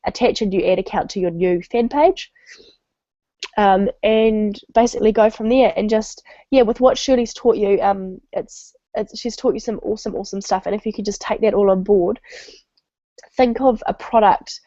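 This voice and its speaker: A woman's voice: medium pitch, moderate pace, dark tone, dry recording. A woman with a stuffy, nasal voice from a cold, giving a casual online marketing training on a call with many ums and restarts. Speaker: webinar presenter. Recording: dull lo-fi web call audio.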